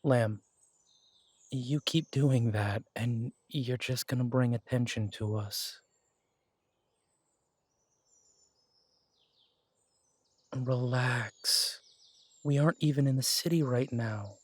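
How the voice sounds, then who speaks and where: A man voicing a protective male werewolf detective in an audio drama, speaking in a gentle, reassuring, unhurried way. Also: medium pitch, no room sound. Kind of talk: romantic audio drama roleplay.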